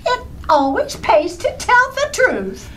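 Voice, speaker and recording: A woman's voice: extremely high pitch, wildly swooping pitch, slow pace, a bit bright, slight background noise, exaggerated voice. A woman playing a cheeky, bratty little girl in old-time radio comedy style. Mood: cheeky, sing-song.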